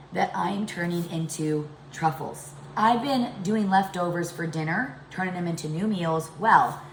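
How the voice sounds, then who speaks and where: A middle-aged woman talks casually through a home recipe. Her voice is deep and congested from a chest cold, with a gravelly creak. The kitchen around her is echoey. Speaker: home cooking video host. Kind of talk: casual cooking demonstration talk. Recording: echoey room with background noise.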